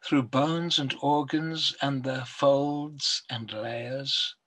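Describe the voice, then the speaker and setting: An older man, a poet, reciting his own verse deliberately, with long pauses between phrases. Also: medium pitch, fairly even intonation, fairly bright tone. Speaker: poet reading own poems. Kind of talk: poetry recital.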